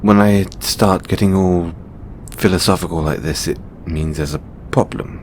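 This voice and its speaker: A man playing an affectionate, stressed god and boyfriend in an intimate audio roleplay, speaking in a halting, softly playful way. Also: low-pitched, slight background noise. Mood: defensive, flustered.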